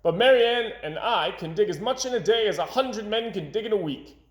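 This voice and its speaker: A man's voice: high-pitched, expressive, fairly quick, echoey room, highs cut off, voice pushed up. A man voicing an eager, confident steam shovel operator in a storybook, making bold, emphatic promises. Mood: assured.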